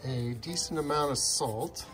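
An older man hosting a home cooking show, explaining each step in a relaxed way with frequent pauses, in a kitchen and then out at a backyard grill. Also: a little high. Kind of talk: casual cooking demonstration. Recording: music playing underneath.

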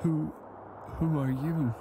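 A woman playing a dazed, confused injured stranger in a horror audio drama, halting and repetitive. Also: sits low, fairly even intonation, very noisy. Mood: dazed, eerie. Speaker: actor.

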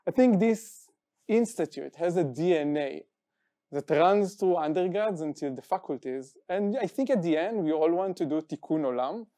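A man's voice: on the high side, animated, moderate pace, mellow. A man in his thirties speaking with heartfelt enthusiasm as a new faculty member on a panel. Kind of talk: panel discussion. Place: television studio set.

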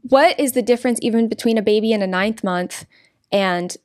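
A woman's voice: a little high, a little fast, a little mellow. A young woman guest on a studio podcast, speaking earnestly and emphatically about her faith, close to a desk microphone. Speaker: pro-life activist guest. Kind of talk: podcast interview. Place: studio with green curtains. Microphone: desk podcast mic on boom arm.

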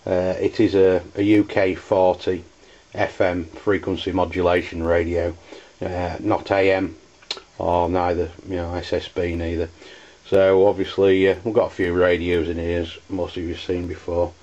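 An English man demonstrating hobby gear in a halting, hesitant way. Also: sits low, level tone, dark tone, dull audio. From United Kingdom. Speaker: CB radio enthusiast reviewing gear.

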